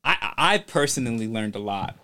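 A man's voice: medium pitch, lively intonation, moderate pace, pushed and bright. A man hosting a podcast in a casual interview chat, rambling with many fillers and restarts. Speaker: podcast host.

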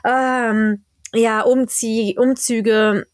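A woman in her thirties co-hosting a podcast in casual chat, stuffed up from a cold, talking lively and thinking aloud. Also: fairly high pitch, extremely slow, no echo, clean studio sound. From Germany. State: congested with a cold. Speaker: podcast co-host.

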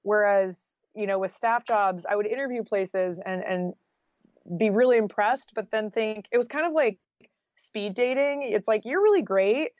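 A woman's voice: medium pitch, moderate pace, darkish tone, no echo, highs cut off. An American woman talking casually and candidly as an interview guest, with an informal style full of "like" and "you know". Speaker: guest, freelance journalist.

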